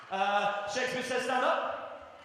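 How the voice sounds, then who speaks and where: A young man in his twenties who leads children in a loud, playful call-out game from a stage. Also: extremely high pitch, a little hiss or hum. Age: 20s. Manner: loud, playful, calls out commands. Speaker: actor leading a children's game. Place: theatre stage in a large hall. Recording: echoey hall from far off with crowd.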